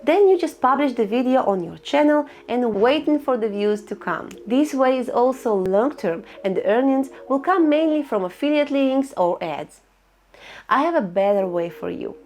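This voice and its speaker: Female tutorial host, fairly high voice, instructional and a bit cheeky, soft music bed. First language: Russian. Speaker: online income tutorial host. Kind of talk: screen-recorded tutorial voice-over.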